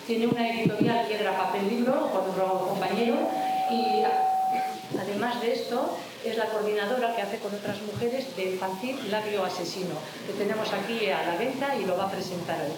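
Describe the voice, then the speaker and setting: A woman's voice: medium pitch, distant mic. Woman introducing a speaker, echoey hall. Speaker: event organizer introducing the speaker. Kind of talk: spoken introduction. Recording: very echoey hall with background noise.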